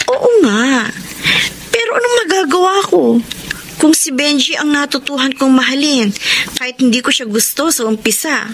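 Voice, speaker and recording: A woman's voice: sits high, animated, moderate pace, fairly bright tone. An actress playing a polite, hesitant young single mother in a Tagalog radio drama. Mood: regretful. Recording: faint background noise.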